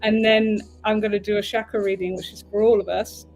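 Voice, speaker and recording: A woman's voice: mid-range voice, flat delivery, a little fast, a little mellow, faint music behind, low-quality recording. A woman from the UK with an English accent, hosting a casual livestream as a tarot reader, chatting in a meandering way and breaking off to fix her stream. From United Kingdom.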